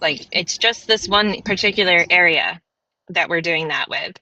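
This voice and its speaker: A woman's voice: lowish voice, moderate pace, sharp tone, dry recording, highs cut off. A woman talking animatedly as a panel guest in casual chat, often breaking into laughs.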